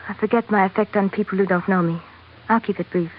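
A woman's voice: mid-range voice, steady tone, fast, close to an everyday voice. A woman playing a devoted, educated young sultana with an earnest manner in an old radio drama. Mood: gracious, composed. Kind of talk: 1940s radio drama acting. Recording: dull, noisy old radio recording.

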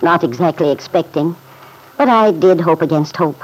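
An actress playing a kindly, thoughtful middle-aged farm mother in an old-time radio drama, speaking gently and politely with some hesitancy. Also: deep voice, normal speed, breathy edge, rough recording. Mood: regretful, earnest.

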